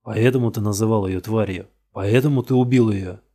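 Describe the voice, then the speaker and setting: A worn-out detective pressing a suspect in an audiobook crime story, blunt and tired, in a low, dark, husky voice, as a man reads him. The tone stays heavy and level, with little brightness. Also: steady tone, moderate pace. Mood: accusing. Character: weary, hard-pressing male police detective.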